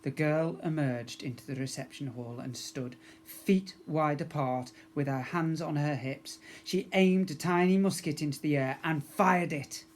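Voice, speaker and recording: A man's voice: a little high, a little slow, few pauses. A man narrating a children's story expressively, as a teacher reading to young pupils.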